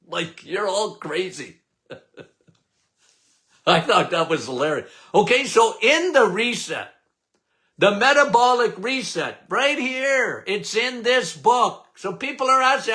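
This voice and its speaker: An older man from Canada hosting a podcast, loud and emphatic, with a habit of repeating "okay?". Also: high voice. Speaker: podcast host. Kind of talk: casual live talk.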